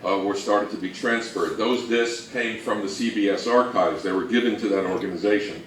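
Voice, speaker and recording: Low-voiced man presenting in an echoey room. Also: normal speed, a bit bright. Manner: conversational, explanatory, poses rhetorical questions. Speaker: co-presenter and old-time radio researcher. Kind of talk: informal slide presentation talk. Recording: echoey room, distant mic, faint noise.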